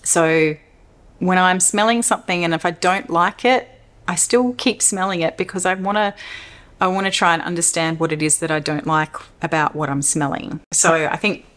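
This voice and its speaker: A woman with an Australian accent and a low, deep speaking voice chats at length to camera. She rambles in a relaxed way, often restarting her sentences mid-thought. She sounds like a middle-aged vlogger talking from home. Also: normal speed. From Western Australia. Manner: rambling, chatty, self-interrupting with restarts. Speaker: perfume YouTuber. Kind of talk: casual Q&A vlog talk.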